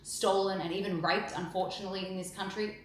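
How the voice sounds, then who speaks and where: A woman's voice: medium pitch, steady tone, fast talker, a little hiss or hum, low-quality recording. A woman speaking outspokenly and with emphasis, answering interview questions and giving a direct update. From Australia. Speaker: campaigner being interviewed.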